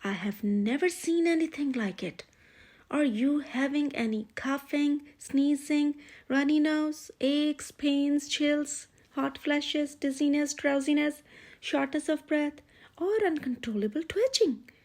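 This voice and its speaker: Fussy picture-book physician, male role read by a woman: high voice, brisk, emphatic, professional. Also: darkish tone. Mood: puzzled.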